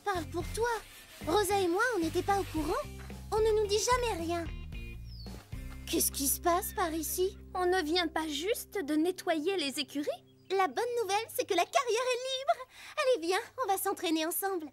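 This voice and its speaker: Very high-pitched cartoon girl. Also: normal speed, soft background music. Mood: indignant. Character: spirited young girl at a riding ranch. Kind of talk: animated children's series dub.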